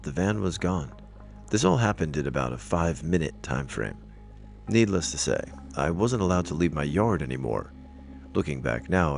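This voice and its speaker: Very deep, gravelly male story narrator. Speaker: narrator reading online stories aloud. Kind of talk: scripted scary-story narration with brief casual comments.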